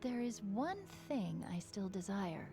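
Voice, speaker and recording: A woman playing a sheltered, yearning teenage girl from a tower in an animated fairy tale, soft and polite. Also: medium pitch, a little slow, creaky, over music. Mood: politely coaxing.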